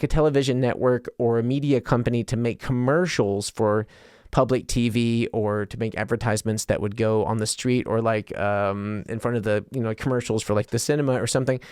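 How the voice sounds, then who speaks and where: American male podcast host, mid-pitched, smooth clean voice, loose self-interrupting delivery, dry close sound. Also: darkish tone, no echo. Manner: self-interrupting, trails into tangents. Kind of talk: casual, rambling solo podcast talk.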